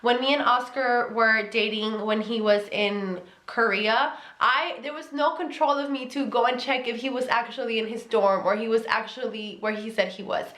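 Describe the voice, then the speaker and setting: A woman's voice: a little high, normal speed, few pauses, a bit bright. A young woman with a Mexican accent giving relationship advice in a casual vlog, earnest and emphatic, repeating key words. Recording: echoey room.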